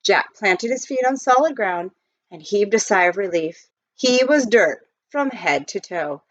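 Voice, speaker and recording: A woman reads a children's novel aloud as an expressive narrator. Her intonation swings widely around a medium pitch. The sound is dry and a little muffled. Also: a little slow, no echo. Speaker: storybook reader.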